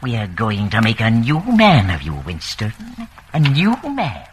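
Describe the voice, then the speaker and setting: An actor playing a calm, menacing male inquisitor of a totalitarian party, deliberate and condescending, in a radio drama. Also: fairly low pitch, slight background noise. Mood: quietly menacing. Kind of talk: old radio drama acting.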